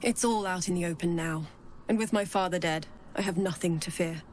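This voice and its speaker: A woman playing a gentle, earnest character in a video game, soft, breathy and hesitant. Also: on the low side, brisk, a little hiss or hum, close to an everyday voice. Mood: relieved, grateful. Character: gentle, earnest woman returned from death, long in hiding. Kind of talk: intimate video game acting.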